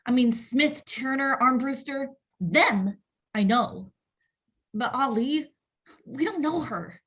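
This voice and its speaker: A woman acting an anxious, distrustful wife in a table read, with a husky voice. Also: high-pitched, lively intonation, slow, a bit bright, full character voice. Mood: uneasy, suspicious.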